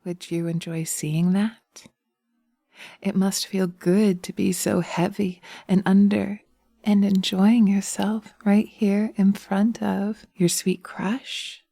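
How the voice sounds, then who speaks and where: A woman playing a teasing, sweetly sinister witch in a hypnosis roleplay, coaxing slowly and soothingly into a close binaural mic, often dropping to a whisper. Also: medium pitch, little pitch movement, gravelly, dark tone, no room sound. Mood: sly, teasing. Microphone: close binaural microphone.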